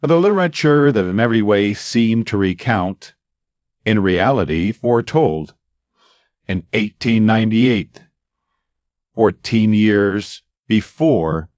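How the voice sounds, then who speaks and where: A man telling a scripted radio story with dramatic pauses and punchy, emphatic reveals. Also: lowish voice, lively intonation, darkish tone, dry recording, studio quality. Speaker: radio commentator.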